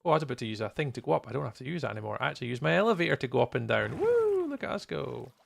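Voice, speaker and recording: A man's voice: medium pitch, very animated, rapid-fire, creaky, slight background noise. A man chatting casually on a gaming livestream, thinking aloud in a playful, rambling way. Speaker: live streamer, host.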